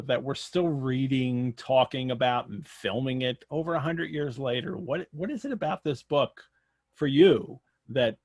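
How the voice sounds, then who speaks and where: A man's voice: mid-range voice, darkish tone, no echo, clean studio sound. A man hosting a casual live question-and-answer session over a video call, putting questions to a guest. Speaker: interviewer, host.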